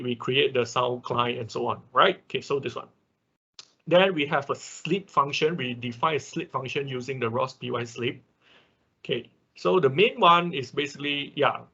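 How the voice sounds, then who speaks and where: A man teaching an online programming class in an informal, halting, step-by-step way, often checking in with a short tag question. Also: mid-range voice, vocal fry, bright, forceful tone, breathy edge. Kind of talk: informal screen-share tutorial. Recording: dull, band-limited online class audio.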